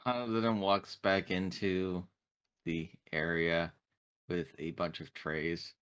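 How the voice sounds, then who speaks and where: A man's voice: low voice, level tone, takes it slowly, a bit bright, smooth. A man playing a tabletop roleplaying game, describing actions and speaking in character with matter-of-fact, formal wording.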